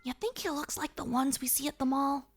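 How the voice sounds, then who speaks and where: Tiny high-voiced timid mouse child. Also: female voice, fairly even intonation, a little fast. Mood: timid wonder. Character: timid, wide-eyed little mouse child. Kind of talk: audio drama cartoon acting.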